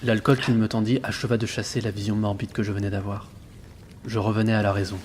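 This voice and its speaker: A man playing a haunted, uneasy sailor telling his journal in a tense, measured way for an audio drama. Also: on the low side, flat delivery, a little mellow, slight background noise, close to an everyday voice. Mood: grave, uneasy. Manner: tense, suspenseful, measured storytelling. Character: haunted young male sailor keeping a journal at sea, uneasy, rational. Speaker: narrator and lead actor of an audio drama. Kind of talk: dramatic first-person narration, audio fiction. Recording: prominent music behind the voice. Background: dramatic music.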